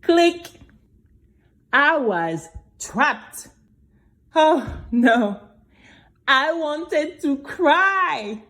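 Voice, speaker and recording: A woman playing a brave young girl who tells her own story in an expressive, exclamatory way for a children's audio drama. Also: sits high, pitched above the performer's own voice, heavily acted. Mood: distressed. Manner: expressive, exclamatory, with drawn-out emphatic words.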